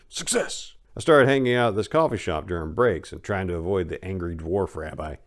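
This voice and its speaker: Low-voiced man giving a dramatic reading. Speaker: narrator reading a memoir. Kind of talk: dramatic reading of first-person narration.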